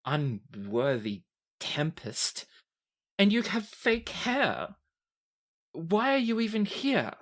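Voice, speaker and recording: A woman's voice: fairly low pitch, sing-song, takes it slowly, pauses often, pitched above the performer's own voice, heavily acted. A woman voicing a haughty, mocking noblewoman in a tabletop game, with a condescending, mock-polite drawl. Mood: contemptuous, angry. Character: haughty, mocking noblewoman, sneering and easily enraged.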